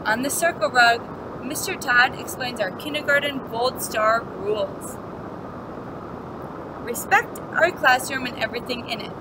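A young woman with a high voice reads a children's story aloud in a teacherly way, using simple phrasing for little ones. The recording has clear background noise, picked up by a webcam mic. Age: young adult. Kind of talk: picture-book read-aloud with asides to children. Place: indoor classroom, seated.

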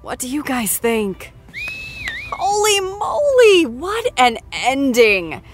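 A woman hosting an after-show in an excitable, folksy way. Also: high voice, slow pace, noisy background. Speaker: podcast after-show host. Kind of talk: animated show hosting to an audience.

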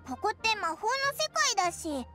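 A woman voicing a tiny cheerful fairy companion in a video game, with a squeaky childlike voice and brash, boyish casual speech. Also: expressive, a little fast. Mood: curious. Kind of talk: Japanese game character acting. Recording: music playing behind the voice.

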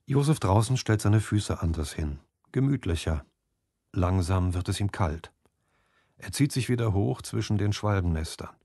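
Low-voiced man narrating a story. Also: animated, moderate pace, mellow, no echo, clean studio sound. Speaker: audiobook narrator. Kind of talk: scripted fantasy story narration in present tense.

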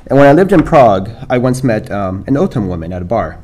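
A middle-aged American man giving a measured academic talk at a lectern, reading from notes with small restarts. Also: medium pitch, sing-song, darkish tone. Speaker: panel speaker. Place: dark lecture hall, standing at a lectern. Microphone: lectern microphone.

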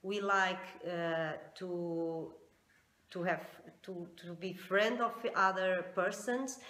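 A middle-aged woman from Portugal with a Portuguese accent, answering interview questions haltingly as she searches for words in an echoey living room. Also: on the low side, steady tone, fairly bright tone. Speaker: interview guest. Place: large living room indoors.

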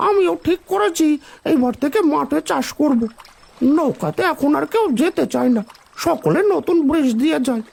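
A woman voicing a chatty, weary village ferry boatman with a creaky voice and a folksy, grumbling manner in a children's cartoon. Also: high voice, expressive, moderate pace, a little mellow, full character voice.

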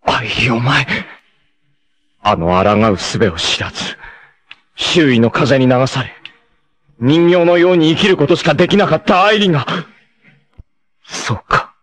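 A man voicing a proud, fierce young male martial artist in a 1980s anime, who moves from measured calm to raging shouts. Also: mid-range voice. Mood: cold, defiant. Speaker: anime voice actor. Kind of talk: 1980s anime dub acting.

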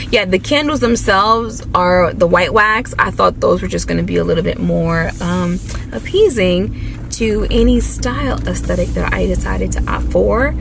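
A young adult woman chatting casually to her viewers as a vlogger, recorded on a camera inside a car. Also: medium pitch. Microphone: camera mounted near the steering wheel. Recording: clear car and ambient noise.

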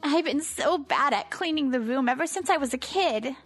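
A woman's voice: sits high, animated, normal speed, dry recording. A woman voicing a bossy but caring teenage delinquent girl in a manga comic dub, casual, blunt and teasing. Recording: background music under the voice.

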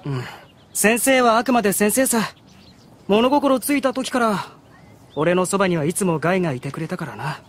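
A man playing a brash, excitable teenage swordsman in an anime audio drama, blunt and boyish in his speech. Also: high voice, moderate pace. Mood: reflective.

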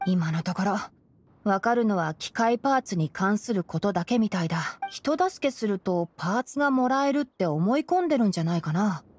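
A woman playing a composed, knowledgeable young fox-eared male forest ranger in a Japanese game, explaining things in a measured way. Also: mid-range voice, expressive, close to an everyday voice. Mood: calm, kindly. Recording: game music behind the voice. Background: background game music.

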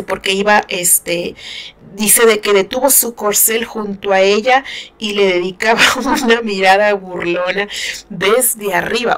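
A middle-aged woman, chatting casually about a novel she reads aloud, laughing often and using many fillers. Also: mid-range voice. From Mexico. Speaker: book reviewer. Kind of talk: casual chat, reading and commenting on a novel.

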